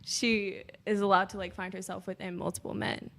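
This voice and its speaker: Gravelly-voiced teenage woman, casual. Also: medium pitch, level tone, a little fast, a bit bright. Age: teens. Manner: giggly, casual opinionated. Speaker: panel guest. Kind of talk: casual discussion.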